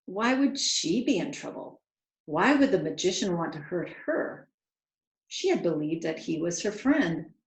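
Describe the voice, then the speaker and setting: A woman reading a picture book aloud with expressive, dramatic storyteller phrasing, drawing out key words. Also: on the low side, a little slow, reverberant. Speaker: children's author reading aloud.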